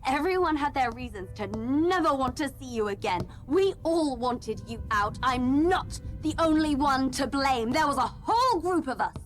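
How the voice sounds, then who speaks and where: A woman's voice: high-pitched, expressive, moderate pace, hardly any pauses, faint music behind. A woman playing a frightened but scornful captive office worker, pleading and brittle, in a radio play. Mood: bitter, defiant.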